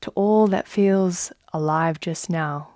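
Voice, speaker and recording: A woman leading a guided meditation in a soft, soothing manner, with long unhurried pauses. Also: on the low side, dry recording, studio quality. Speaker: yoga nidra guide.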